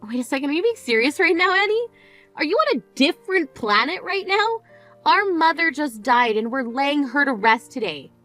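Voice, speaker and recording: A high-voiced, blunt sister in mourning, played by a woman, scolding her sibling with cutting disbelief. Her tone stays sharp and exasperated, over faint background music. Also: big pitch swings, normal speed, heavily acted. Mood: incredulous. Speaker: sister at a funeral. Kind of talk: scripted dramatic dialogue.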